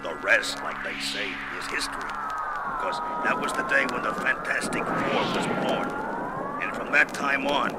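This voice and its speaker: An actor playing a gruff, sardonic monster hero narrating his past with slangy, world-weary delivery in a 1970s audio drama. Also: mid-range voice, measured pace, heavy background noise, lightly acted. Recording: vintage record with loud music behind.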